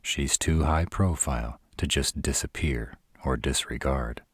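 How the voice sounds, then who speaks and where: Vampire prince played by a man, imperious and strategic, slow delivery, heavily rasped, audio roleplay. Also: a bass voice, no room sound, studio quality, heavily acted. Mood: commanding. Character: arrogant, commanding vampire prince, wary and strategic.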